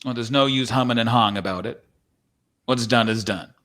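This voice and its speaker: A man's voice: medium pitch, brisk, a bit bright, lightly acted. A man playing a folksy, courtly, wistful cowboy from the Old West in a dramatic script reading. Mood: regretful, tender.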